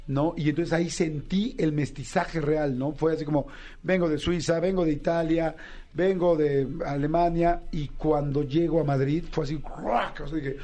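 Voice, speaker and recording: This is a man from Mexico with a Mexican accent, hosting a studio radio interview. His voice sits a bit high but stays mellow and soft-edged. He asks eager, curious questions and runs through details quickly. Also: steady tone. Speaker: radio host.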